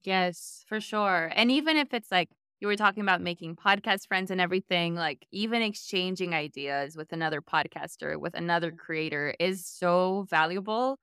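A woman interviews in a relaxed, conversational style, agreeing and adding her own points. Her voice sits a little low yet is bright and clear, recorded dry. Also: moderate pace, hardly any pauses, dry recording. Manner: affirming, builds on guest's points. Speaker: podcast host, interviewer.

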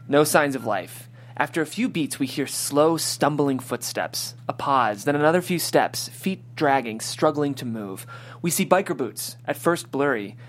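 A man hosting a script reading and narrating stage directions in a descriptive storytelling style. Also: fairly high pitch.

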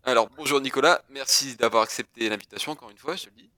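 A man's voice: medium pitch, quick pace, bright, forceful tone. A man hosting a podcast interview, asking questions casually with loose, restarting phrasing.

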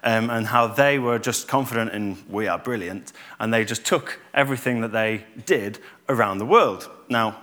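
A man, giving a calm, conversational church talk with fillers and restarts. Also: lowish voice, normal speed, hardly any pauses. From Yorkshire, England. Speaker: church speaker.

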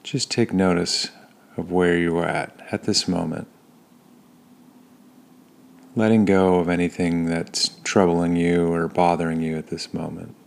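Male meditation guide: low, even, unvarying delivery, calm and soothing, with unhurried pauses between phrases. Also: vocal fry. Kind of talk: guided body scan meditation.

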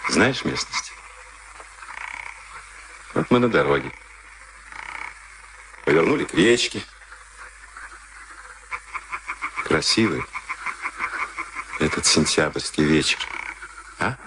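An actor in a Soviet radio play, playing a sadistic, smug SS camp officer with a taunting, mock-polite manner. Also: male voice, a bass voice, sing-song, slow, long pauses, exaggerated voice. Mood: menacing, mocking. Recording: noisy archival radio drama recording.

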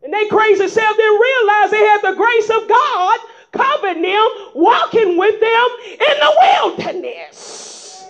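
A woman preaching a sermon in folksy vernacular, rising into shouts and prodding the congregation with repeated calls of "huh?" in a dull lo-fi recording. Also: very high voice, animated, measured pace. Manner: emphatic call-and-response preaching with frequent "huh?" prompts.

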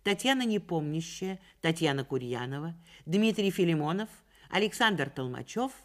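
A woman presents formal scripted credits as a radio announcer. Her voice sits a little low and moves expressively up and down, with deliberate enunciation throughout. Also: expressive.

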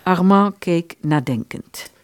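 A woman narrating a literary radio play from a script in an expressive storytelling manner. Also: lowish voice, slow, clear, resonant tone, no room sound, studio quality.

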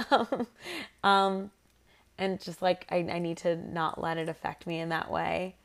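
A young woman co-hosts a podcast with a fairly low voice. It stays clear, though slightly worn from recently losing it. She talks casually and informally, rambling through her stories. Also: fairly even intonation, a little slow. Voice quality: slightly raspy from lost voice. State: voice worn from shouting and singing. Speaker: podcast co-host. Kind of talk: casual chatty podcast conversation.

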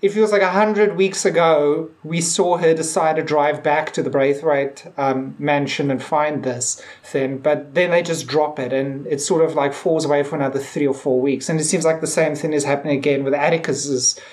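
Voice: mid-range voice, steady tone, moderate pace, few pauses, darkish tone. A podcast co-host talking casually in a discussion, conversational and peppering sentences with "like". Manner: conversational, heavy use of "like".

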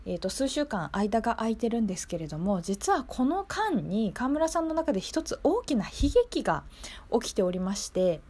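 Female radio host, fairly high voice, chatty and informal delivery. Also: sing-song, moderate pace, darkish tone, slightly rough. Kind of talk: casual local radio hosting.

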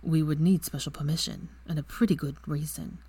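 A woman voicing a tender, brooding young wizard in a low, quiet, hushed voice. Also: fairly even intonation, a little fast, slightly rough, no room sound, full character voice. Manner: quiet, hushed, near whisper.

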